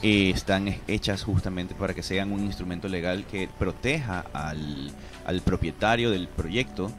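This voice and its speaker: A man's voice: sits low, normal speed, over music. A man in his thirties explaining things with examples as an expert guest in a radio studio interview. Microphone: studio broadcast microphone.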